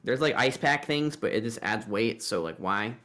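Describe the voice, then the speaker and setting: A man's voice: fairly low pitch, lively intonation, moderate pace, a loud crowd. A man hosting a casual, joking chat with a sarcastic streak and some swearing, on an amateur recording. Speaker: host. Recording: amateur recording with bad audio.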